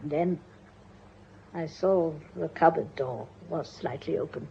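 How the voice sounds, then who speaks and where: A very husky old British matriarch, rules the room with formal, precise speech. She is composed and unsentimental, in radio drama style, with slightly muffled audio. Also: deep voice, a little slow, mellow, heavily acted. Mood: composed, matter-of-fact. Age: older.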